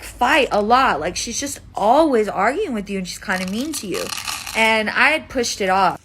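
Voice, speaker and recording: A woman's voice: a little high, a bit bright. Dramatic young American woman, noisy background. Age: 20s. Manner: dramatic, emphatic, peppered with "like" and swearing. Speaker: storytime video creator. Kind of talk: casual first-person storytelling.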